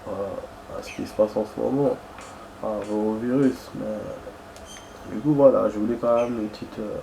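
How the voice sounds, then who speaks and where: A man's voice: lowish voice, fairly even intonation, measured pace, vocal fry, background noise. A young man chatting casually while playing a game, rambling and hesitant, with many fillers and restarts. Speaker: gaming streamer.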